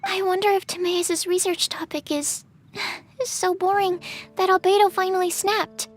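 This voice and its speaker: A woman's voice: very high-pitched, steady tone, moderate pace. A woman voicing a shy, polite, bookish young alchemist in an anime game, explaining earnestly with a hesitant, apologetic manner. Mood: mild, puzzled. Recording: music behind the voice.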